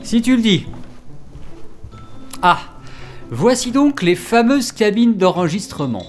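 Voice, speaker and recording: A man playing a naive, excitable time-traveling new recruit in a French audio comedy, with a flustered, stammering delivery. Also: sits high, slow, faint music behind, exaggerated voice. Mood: doubtful.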